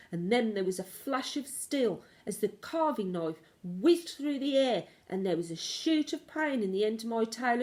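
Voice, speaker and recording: A woman narrates a children's story in the manner of a teacher reading aloud. Her medium-pitched voice is expressive, leaning on key words with dramatic, varied emphasis.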